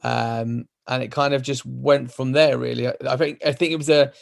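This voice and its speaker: Gravelly London man, relaxed. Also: medium pitch, moderate pace. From London, England. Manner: relaxed, rambling, hesitant restarts. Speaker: podcast co-host. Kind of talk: casual chat.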